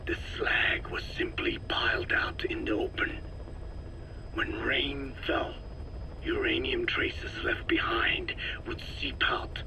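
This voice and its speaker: An actor playing a solemn, elderly Navajo scientist in a video game, speaking in a breathy whispery rasp with halting, measured phrases. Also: male voice, medium pitch, bright, forceful tone, full character voice, rough recording. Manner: slow, measured, halting delivery in short phrases. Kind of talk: dramatic video game dialogue.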